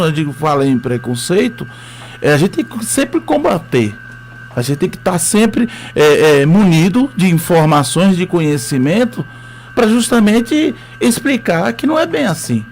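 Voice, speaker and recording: Earnest Northeastern Brazilian teacher. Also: male voice, sits high, big pitch swings, normal speed, a little mellow, slight background noise. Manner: hesitant, many fillers, earnest. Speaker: history teacher, interview guest. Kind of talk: radio interview, conversational storytelling.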